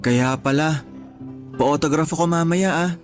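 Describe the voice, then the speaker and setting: A man's voice: fairly high pitch, unhurried, natural voice. A man with a Filipino accent playing a smitten, curious and slightly awkward man in his late twenties in a radio drama. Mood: smitten, teasing. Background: music.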